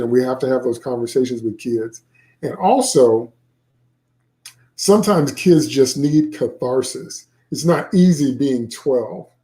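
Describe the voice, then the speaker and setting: A man's voice: medium pitch, dark tone. A middle-aged man, an author, talking informally to viewers about his book and repeating phrases for emphasis.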